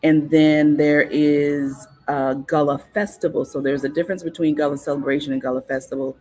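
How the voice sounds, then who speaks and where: A woman, a guest in a casual interview, explaining things in an unhurried, informative way with frequent fillers and restarts. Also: deep voice, flat delivery, a little mellow. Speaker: guest on cultural topics.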